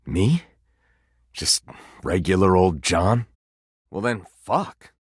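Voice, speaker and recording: An actor voicing a living candelabra in a video game, a self-confessed fraud who talks bluntly and casually, with sulky resignation and a flirtatious streak. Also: male voice, sits low, animated, slow, husky, no echo, studio quality, exaggerated voice. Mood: wistful, confessional. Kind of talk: video game character dialogue.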